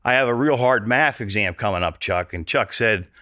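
A man's voice: on the low side, normal speed, bright, forceful tone, no room sound, studio quality. A man filling in as host, teasing his co-host and introducing the guest with stumbling restarts. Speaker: podcast producer and fill-in host. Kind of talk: casual interview hosting.

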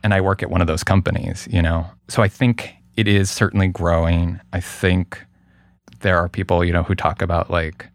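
A middle-aged man speaking as an interview guest in a casual, thoughtful, halting way, often hedging what he says. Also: sits low, little pitch movement, no echo. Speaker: guest, radio producer.

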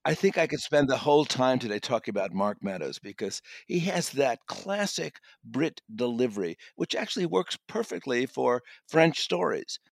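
A man's voice: medium pitch, sing-song, moderate pace, vocal fry, hardly any pauses, no echo. A man giving a conversational review as a guest critic on a podcast.

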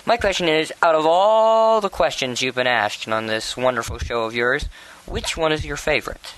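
Bright-voiced rambling man, voice message. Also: on the high side, moderate pace, rough recording. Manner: rambling, hesitant. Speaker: listener sending recorded question.

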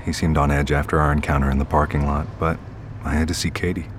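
A man's voice: very deep voice, flat delivery, fast talker. An actor playing a weary, troubled man, terse and blunt, in a first-person audio drama. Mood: weary, brooding. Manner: terse, plain-spoken. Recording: background ambience and noise.

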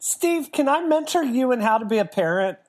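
A woman's voice: high-pitched, expressive, fairly quick, a little mellow. A woman co-hosting a podcast, teasing her co-hosts in casual banter. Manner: playful, teasing. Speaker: podcast co-host.

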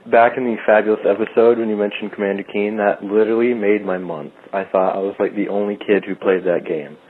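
A man's voice: on the low side, a little hiss or hum. A man leaving a casual, slightly rambling voicemail as a listener calling in. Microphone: telephone. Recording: muffled, tinny phone call.

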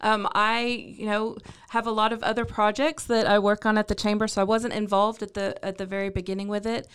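A woman's voice: mid-range voice, little pitch movement, a little fast, smooth. A woman from England, a chamber of commerce staffer, chatting as a guest in a friendly, self-deprecating way with many fillers and restarts. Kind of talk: casual interview chat.

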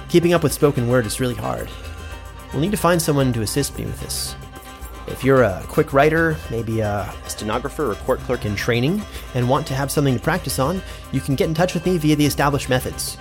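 A man playing a fussy archivist in a fantasy audio drama, musing aloud in a measured way. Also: medium pitch, soft background music, lightly acted. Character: fussy, musing male archivist keeping recordings in a fantasy world. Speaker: in-world recorder reading credits. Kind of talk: scripted audio drama monologue.

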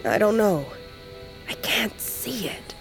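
Slow-spoken, solemn old shaman woman. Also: mid-range voice, very animated, creaky, exaggerated voice. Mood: grave, hesitant. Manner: solemn, measured, cryptic. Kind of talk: fantasy audio drama acting. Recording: music underneath.

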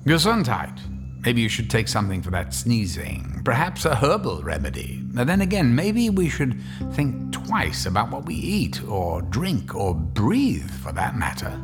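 A man's voice: mid-range voice, wildly swooping pitch, a little slow, over music. A man hosting a radio drama, closing the episode with wry, teasing remarks. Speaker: host narrator. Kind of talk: theatrical radio host outro.

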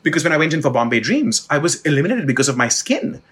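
A man's voice: fairly high pitch, sing-song, quick pace, a bit bright. A middle-aged man from India, a stage actor, talking bluntly and with animation about his career, swearing now and then. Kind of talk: candid conversation.

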